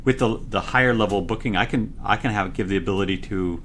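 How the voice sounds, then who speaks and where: Excitable man with a lowish voice. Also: fast, a little hiss or hum. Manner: excitable, gets loud when talking; frequent fillers and restarts. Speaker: product engineer guest. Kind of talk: conversational interview explaining products.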